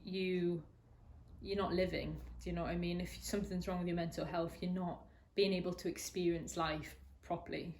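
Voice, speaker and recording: Woman in her 20s, kinda low voice, lots of ums and restarts, just chatting openly. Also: level tone, moderate pace, a little mellow, slight background noise. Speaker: interviewee sharing a personal story. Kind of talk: candid conversational interview.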